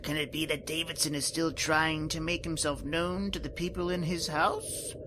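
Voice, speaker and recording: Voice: mid-range voice, steady tone, background noise, lightly acted. An actor playing a cackling, sinister old hermit who narrates a horror tale in old-time radio style. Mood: gleeful, ominous. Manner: cackling, slow and ominous.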